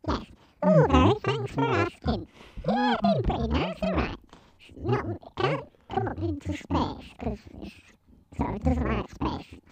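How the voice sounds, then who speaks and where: A man's voice: very high-pitched, wildly swooping pitch, measured pace, a crowd in the background, voice pushed up, exaggerated voice, poor audio. A man voicing a goofy male alien with a Yorkshire accent, rambling and silly. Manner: rambling, silly, wildly swooping voice.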